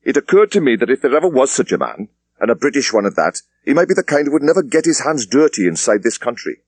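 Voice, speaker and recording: Man acting brisk senior British police superintendent. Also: mid-range voice, sing-song, a little mellow, dry recording, pitched above the performer's own voice. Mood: discreet, earnest. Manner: clipped, businesslike speech.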